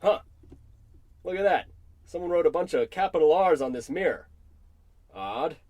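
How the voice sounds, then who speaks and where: A man's voice: a little high, expressive, normal speed, darkish tone, no echo. An actor playing a nervous, whiny salesman in a parody old-time radio comedy, anxious and easily exasperated. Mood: worried, uneasy. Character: nervous, jumpy male travelling salesman, whiny sidekick.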